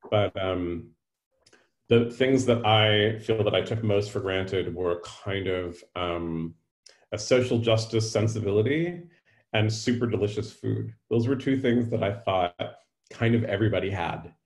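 A man in his fifties from California, interviewing a guest from home over a video call, thinking aloud with many pauses. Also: lowish voice. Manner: musing, hesitant with fillers. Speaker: host, interviewer. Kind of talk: casual interview. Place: home room. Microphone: webcam on video call.

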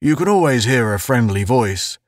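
A man's voice: medium pitch, lively intonation, moderate pace, no room sound, clean studio sound, close to an everyday voice. A man voicing an earnest, insistent, well-meaning space officer giving encouragement in an audiobook. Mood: reassuring. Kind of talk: audiobook character voice.

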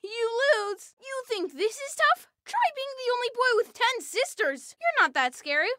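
A performer voicing an energetic, scheming, good-natured young cartoon boy for a video game, in an eager, expressive, exclamatory way. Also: extremely high pitch, moderate pace, dry recording, studio quality, exaggerated voice. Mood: deflated, wry. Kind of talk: animated video game voice clips, exclamatory one-liners.

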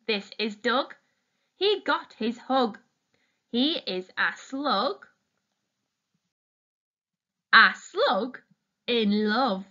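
Very slow, animated woman reading a picture book. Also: fairly high pitch, lo-fi sound. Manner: deliberate, addressing young listeners with questions. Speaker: storytime reader, teacher. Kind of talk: expressive children's picture-book read-aloud.

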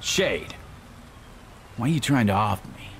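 Cynical noir tough guy, low voice, terse, contemptuous, video game drama, faint background noise. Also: animated, measured pace, a bit bright. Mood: cold contempt. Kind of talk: film noir motion-comic game acting.

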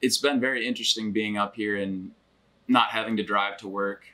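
A man in his twenties from Michigan, talking in a reflective, steady way with frequent fillers about working remotely. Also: fairly low pitch, moderate pace, poor audio. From Grand Rapids, Michigan, US. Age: 20s. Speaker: remote worker. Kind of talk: casual sit-down interview. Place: wood-paneled cabin living room.

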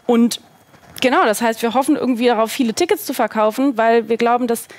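A woman's voice: on the high side, brisk, no echo. A woman in her thirties speaking as a talk show guest, informal and casual, dropping in English phrases. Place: indoor talk studio with seated group. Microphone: headset.

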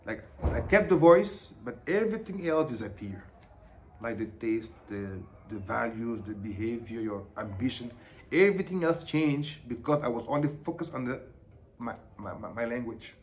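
A man's voice: mid-range voice, moderate pace. Earnest African-accented man, faint hum. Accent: French-speaking African. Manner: earnest, with animated hand gestures. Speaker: interviewee, teacher. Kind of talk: reflective documentary interview. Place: small bright indoor room, seated at a table.

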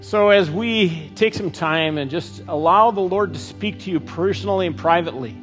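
A middle-aged man preaching a sermon and leading a closing prayer, slow and earnest with frequent pauses, on a headset mic. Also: sits high, faint music behind. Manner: slow, earnest, pausing often. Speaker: pastor. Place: church stage, indoors. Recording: dull church recording with long reverb.

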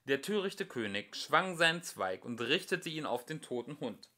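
A man reading a fairy tale aloud as an amateur audiobook narrator, with expressive delivery. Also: medium pitch, moderate pace.

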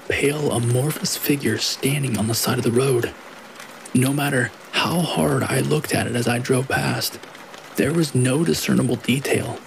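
Male narrator for creepy tales, mid-pitched with a gravelly fry, rain clearly audible behind. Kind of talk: scripted narration of scary stories. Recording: steady rain noise behind the voice. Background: rain falling.

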